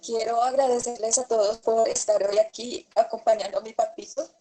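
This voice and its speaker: A woman playing a grieving, grateful daughter at her father's wake, speaking softly and tenderly with some breathiness, in a radio drama. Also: a little high, steady tone, fairly quick, natural voice.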